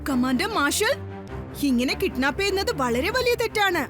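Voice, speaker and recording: A woman's voice: very high-pitched, sing-song, moderate pace, music in the background. A woman voicing a brave, earnest young boy superhero in a children's cartoon dub. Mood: resolute. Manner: earnest, questioning, resolute. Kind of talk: dubbed children's cartoon acting.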